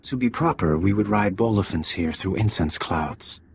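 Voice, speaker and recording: An actor playing a dutiful young alien bridegroom in a video game, speaking formally and with restraint. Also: male voice, deep voice, lively intonation, moderate pace, gravelly, breathy edge, full character voice. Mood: solemn, tender.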